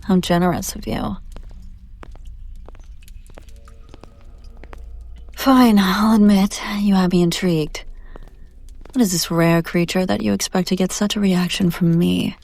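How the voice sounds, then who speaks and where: Casting: female performer as an imperious demon buyer for audio roleplay; lowish voice, condescending, teasing, theatrical, very dry close sound. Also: fairly even intonation, normal speed, vocal fry, a little mellow, no room sound. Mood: intrigued, wry. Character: haughty, predatory demon woman, wealthy buyer at auction.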